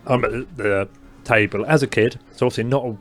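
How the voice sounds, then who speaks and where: A young man with a mild stutter who co-hosts a podcast, telling a personal story in casual chat with many fillers and halting restarts. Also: mid-range voice, soft background music. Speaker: podcast co-host.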